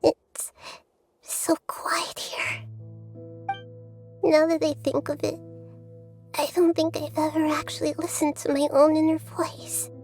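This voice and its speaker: A woman voicing a gentle, childlike young goddess of wisdom in a video game, soft, hesitant and contemplative. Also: high voice, flat delivery, takes it slowly, long pauses, soft background music. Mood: lonely, doubting. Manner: soft, hesitant, contemplative, often near whispering. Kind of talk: video game cutscene acting.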